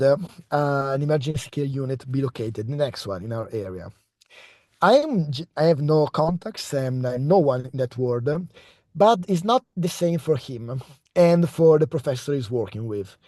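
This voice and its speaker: A man's voice: on the high side, warm tone, no echo, studio quality. A young man with an Italian accent giving an online research presentation over a video call, hesitating often with fillers and restarts. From Italy.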